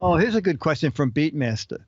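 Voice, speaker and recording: Guy hosting a live stream, kinda high voice, joking around, dull dry sound. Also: sing-song, fairly quick, no room sound. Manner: joking, chatty, reads viewer questions. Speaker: show host. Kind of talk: casual live-stream chat.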